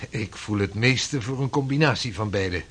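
An actor playing an earnest 16th-century navigator and mapmaker who talks in a measured, formal way. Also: male voice, lowish voice, fairly bright tone, husky. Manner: measured, formal, old-fashioned speech. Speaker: seafaring cartographer. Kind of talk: radio drama acting.

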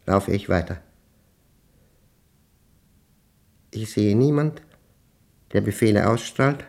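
An actor in a German radio play, playing a troubled, methodical professor who dictates a diary in deliberate fragments. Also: male voice, lowish voice, mellow. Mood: tense, haunted. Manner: deliberate, fragmented phrasing, precise, pedantic. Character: troubled methodical male professor recording his nightmares as a diary.

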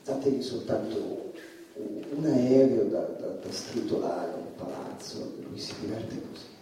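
A man's voice: medium pitch, animated, a little slow, warm tone, close to an everyday voice. A male stage actor playing a troubled, rambling, defiant stand-up comedian who stammers and repeats his phrases in a theatrical monologue. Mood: halting, sardonic. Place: theatre stage, indoors, recorded from afar. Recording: distant, echoey stage recording with faint noise.

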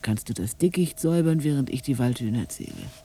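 A man's voice: mid-range voice, animated, fairly quick, mellow, slight background noise, lightly acted. An actor playing a solitary countryman in a radio drama, musing and coaxing as if talking to his animals. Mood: gently musing.

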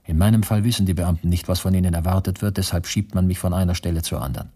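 Husky, low, disillusioned camp prisoner. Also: male voice, mellow. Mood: bitter, weary. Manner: brooding, bitter, measured. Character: weary, disillusioned concentration camp prisoner, communist, writing to his beloved. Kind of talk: radio drama monologue.

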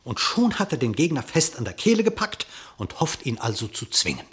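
An actor playing a storyteller, narrating a radio-play animal fable in verse with theatrical, vivid declamation and a husky voice. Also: male voice, mid-range voice, sing-song, brisk, fairly bright tone, heavily acted. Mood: dramatic, vivid.

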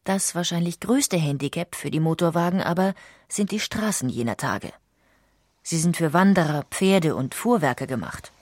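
A woman reading scripted documentary narration in a measured, articulate way. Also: on the low side, expressive, a little mellow, no room sound, clean studio sound.

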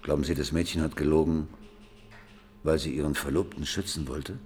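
A man's voice: very deep voice, steady tone, fairly quick, darkish tone. An actor playing a pensive, doubting police detective who reasons skeptically with his partner in a crime radio play. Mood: skeptical reasoning. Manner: ruminative, skeptical.

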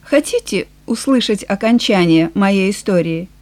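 A woman voicing a weary, frank male farmer nearing sixty in an audiobook, plain-spoken and measured. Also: medium pitch, expressive, darkish tone, pitched below the performer's own voice, natural voice. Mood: weary, calm. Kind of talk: audiobook character dialogue.

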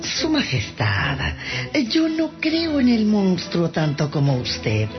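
An actor playing a jealous, scheming court official in a storybook radio drama, formal, indignant and accusing. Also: male voice, high voice, huge pitch swings, takes it slowly, full character voice. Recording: dull sound with music behind.

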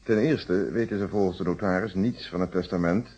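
An actor playing a calm, probing, wry male police inspector in a radio detective play, speaking Netherlands Dutch with polite, measured phrasing. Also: deep voice, slightly rough. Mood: wry, explanatory. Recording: dull, muffled radio drama recording.

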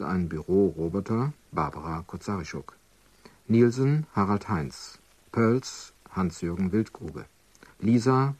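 A man reading scripted closing credits as a formal, measured radio announcer. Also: sits low, a little mellow, breathy edge.

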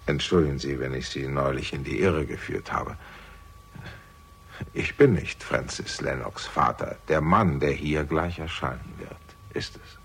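An unflappable older man in a 1960s radio crime play. His voice sits low, with a heavy creak and a husky grain. He speaks with measured, dry irony and quiet menace. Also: heavily acted. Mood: quietly commanding. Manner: measured, dry, ironic, composed. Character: unflappable, ironic father, older man with a pistol. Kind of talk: 1960s German radio crime drama acting.

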